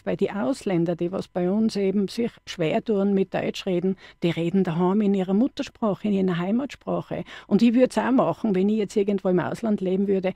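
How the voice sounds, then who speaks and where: A middle-aged Austrian woman with a gravelly edge and a dark, mellow tone. She chats freely with little restarts. The sound is close and dry. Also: mid-range voice, no room sound. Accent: Austrian German. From Upper Austria. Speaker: studio guest, dialect poet. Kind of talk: radio interview.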